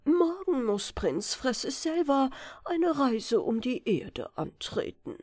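A woman's voice: sits high, sing-song, slow, a little mellow, dry recording, studio quality, voice pushed up, full character voice. A woman voicing a troubled, solemn fairy-tale king in an audiobook. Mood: decisive. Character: troubled fairy-tale king fretting over his son.

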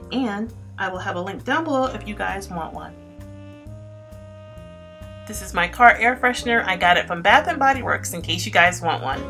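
A woman vlogger chatting upbeat to her viewers in a voice-over tour. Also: medium pitch. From Florida. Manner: chatty and upbeat, addressing viewers as you guys. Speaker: lifestyle vlogger.

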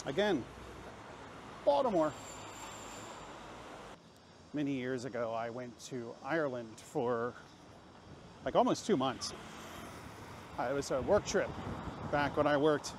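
A man's voice: mid-range voice, expressive, measured pace, long pauses. Man vlogging over street noise. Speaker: travel vlogger. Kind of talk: casual walk-and-talk narration to camera. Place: busy city street, outdoors. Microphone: handheld camera while walking. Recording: clear street and traffic noise.

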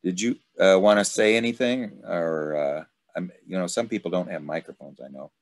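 A middle-aged man hosting an informal online study group, talking in a rambling, halting way over a video call. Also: sits low. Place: indoors at home, video call. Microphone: video call microphone.

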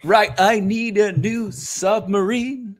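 A man's voice: high-pitched, takes it slowly. A young man playing a cocky space smuggler in a comic table read, emphatic and mock-serious. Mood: indignant. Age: young adult. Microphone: close desk microphone with headset.

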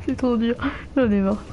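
A woman's voice: on the high side, dull audio, background noise. Young woman streaming a game. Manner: playful, bantering, easily flustered. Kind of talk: live gameplay chat with viewers.

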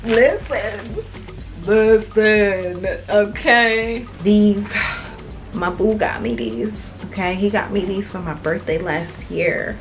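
A young woman talks her viewers through a clothing haul in an emphatic, chatty way. Her voice sits at a middle pitch, with faint music playing softly underneath. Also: slow. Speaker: fashion vlogger. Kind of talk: casual try-on haul chat. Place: indoors in front of a painted wood backdrop.

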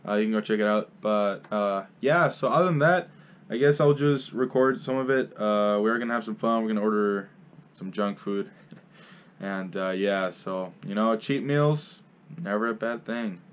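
A man's voice: lowish voice, moderate pace, a little hiss or hum. A young man talking casually to a close action camera, rambling with lots of fillers and restarts, first in his bedroom and later while driving his car. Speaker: vlogger. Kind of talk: casual vlog talk to camera. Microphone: action camera held close.

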